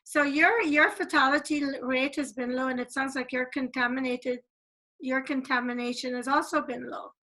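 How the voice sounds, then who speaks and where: A woman's voice: sits high, fairly even intonation, normal speed, bright, forceful tone, poor audio. A woman from Guyana hosting an interview over a video call, asking questions in a chatty, earnest way.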